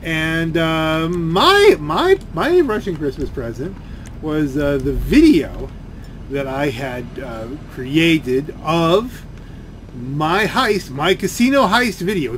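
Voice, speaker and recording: Casting: male gaming creator, slightly high voice, rambling complaint-style commentary with repeated words, noisy gameplay behind. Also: a bit bright. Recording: game and engine noise behind voice.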